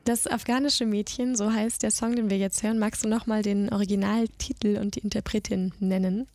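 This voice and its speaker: A woman hosting a radio interview in a measured way, pausing mid-sentence as she phrases questions and summaries. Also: mid-range voice, fairly even intonation, darkish tone, no room sound.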